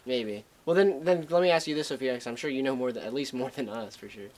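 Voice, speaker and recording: A man's voice: mid-range voice, quick pace, darkish tone. Young man, podcast co-host, casual chat, slangy, swears a lot.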